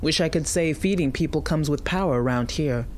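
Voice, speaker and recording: A woman's voice: low voice, a little fast, a little mellow, slight background noise, natural voice. A woman playing a hospitable, plain-spoken American mother who runs a barbecue stand, talking casually in an audio drama. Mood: wry, frank. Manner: folksy, casual, straight-talking. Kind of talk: audio drama acting, conversational.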